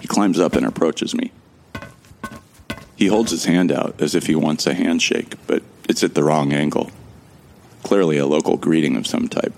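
A man's voice: a bass voice, fairly even intonation, normal speed, creaky, long pauses, a little mellow, slight background noise. An actor playing a bewildered amnesiac man who wryly narrates his ordeal in the first person for a sci-fi audio drama. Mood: wry, musing. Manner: wry, musing.

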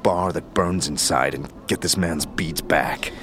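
A man's voice: low-pitched, unhurried, a bit bright, soft background music. A man voicing a curious but easily rattled nameless protagonist who stammers and hesitates, in an audio drama. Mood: weary.

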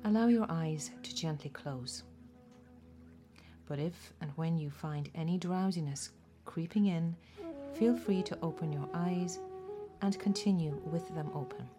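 Low-pitched woman guiding meditation over music. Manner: gentle, measured instruction with deliberate pauses. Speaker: guided meditation host. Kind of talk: guided body scan meditation.

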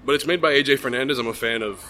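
A man's voice: mid-range voice, very fast, sharp tone, slight background noise. A man co-hosting a casual podcast, explaining things to listeners in a dry manner. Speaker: podcast co-host, sound man.

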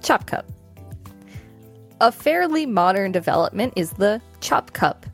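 A woman's voice: mid-range voice, sing-song, a little slow, faint music behind. A woman with an American accent, co-hosting a podcast, reading an article aloud with casual remarks. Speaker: podcast co-host.

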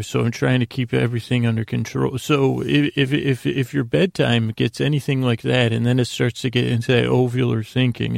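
A man with a very creaky, gravelly voice hosting a bedtime podcast, rambling haltingly and interrupting himself as he drifts into tangents. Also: medium pitch, a little mellow, no room sound, clean studio sound. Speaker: podcast host.

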